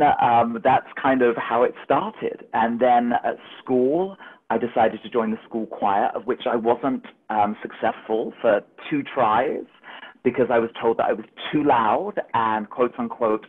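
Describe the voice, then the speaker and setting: A man's voice: medium pitch, a little slow, slightly rough. Man rambling over a tinny call line. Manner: chatty, rambling, many fillers. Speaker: musical director. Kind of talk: casual storytelling on a podcast. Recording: tinny phone-like remote call audio.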